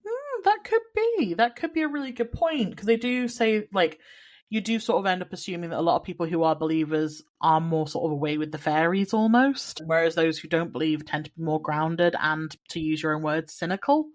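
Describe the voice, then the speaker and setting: A woman co-hosting a casual podcast, chatty and agreeable, full of "like" and quick asides. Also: on the low side. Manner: chatty, lots of likes and agreeing mms. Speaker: podcast co-host.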